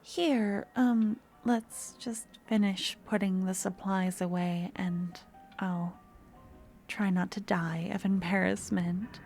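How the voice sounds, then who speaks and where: A woman playing an obsessive young stalker in an ASMR roleplay, sweet yet menacing, speaking softly and often dropping to a whisper. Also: mid-range voice, steady tone, slow, soft background music. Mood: shy, embarrassed.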